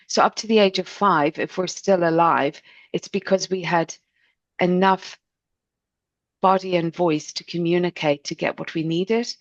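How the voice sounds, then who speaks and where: A middle-aged voice coach from Ireland with a mixed Irish and South African accent, talking in a gentle, chatty, expressive way. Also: female voice, sits low, gravelly, no room sound, muffled recording. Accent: Irish and South African English mix. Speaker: voice and speech coach. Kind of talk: podcast interview answer.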